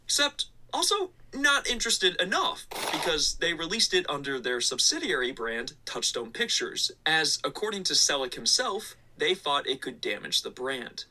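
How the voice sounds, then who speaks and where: A man's voice: fairly high pitch, very animated, normal speed, few pauses, pushed and bright, a little hiss or hum, poor audio. A man narrating a scripted video essay with casual asides. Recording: background music under the voice. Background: music.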